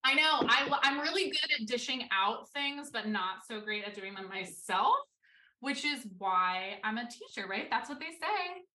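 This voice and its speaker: A woman from Maryland, a writer and teacher, chatting as a guest with a low voice, some vocal fry and many fillers. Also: lively intonation, normal speed, pushed and bright. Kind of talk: casual interview chat. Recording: roomy, somewhat far from mic.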